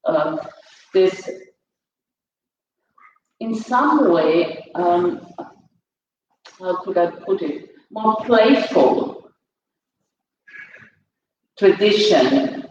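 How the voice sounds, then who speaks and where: A woman's voice: on the low side, lively intonation, drawn-out words, pauses often, darkish tone. An Austrian woman poet speaking English as a second language on a panel, searching for words with many hesitations. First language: German. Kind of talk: unscripted panel talk in English. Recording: echoey hall, mic far off, dull sound.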